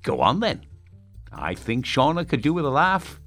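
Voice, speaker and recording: An actor playing a smug, sardonic state radio host in a dark audio drama, theatrical and menacingly polite with pompous presenter patter. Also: male voice, fairly high pitch, wildly swooping pitch, normal speed, soft background music, heavily acted. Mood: breezy, needling.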